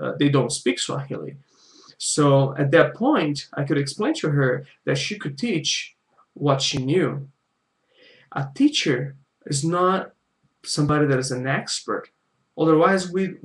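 A man from Brazil with a Brazilian Portuguese accent, speaking English as a second language and explaining his views on language learning in a casual talk to camera, with frequent restarts. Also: mid-range voice. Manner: explaining, with many restarts and drawn-out words.

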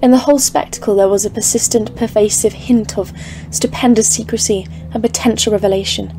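A woman's voice: mid-range voice, steady tone, brisk, mellow, lightly acted. A woman acting in an audio drama as a haunted expedition leader of thirty-four, grave and literary, foreboding in tone. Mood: awed, dread. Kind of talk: scripted audio-drama narration and dialogue. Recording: clearly noisy, ambient background.

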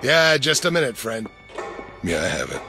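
An actor playing a falsely polite, secretly menacing ticket seller with an offhand, clipped manner. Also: male voice, mid-range voice, huge pitch swings, normal speed, over music. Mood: offhand, sinister.

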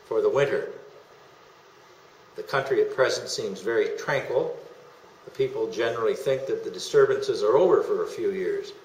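An older man giving a dramatic reading of an old diary as a costumed reader. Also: medium pitch. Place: meeting room in a historic house, before an audience. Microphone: camera a short way off. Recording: echoey room, slightly distant mic.

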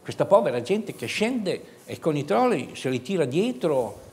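A man's voice: on the high side, big pitch swings, moderate pace, a little mellow. A man, moderating a studio debate as host. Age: 70s. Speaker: talk show host.

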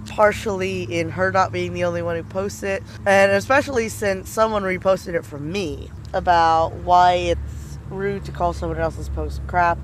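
High-voiced young American, sarcastic and chatty. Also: male voice, fairly bright tone. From United States. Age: young adult. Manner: sarcastic, quoting others mockingly. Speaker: vlogger. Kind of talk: casual chat, recounting arguments. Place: outdoors by a fence near a drive-through. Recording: outdoor street noise behind.

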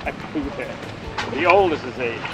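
A man's voice: mid-range voice, animated, normal speed, background noise. An older man hosting a casual chat on a marina dock, chatty and teasing, handing out tips. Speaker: host filming the interview. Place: outdoor marina dock by the water.

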